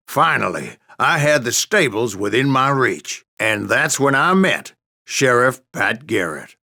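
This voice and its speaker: A grizzled old gunslinger from a Western game, spinning tall tales in a salty American drawl. The voice is gravelly and forward, with a hard bright edge. It rises and dips with wry, boastful storytelling. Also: male voice, medium pitch, exaggerated voice. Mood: sly, flirtatious. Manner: folksy, sardonic, salty. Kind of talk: dramatic first-person storytelling.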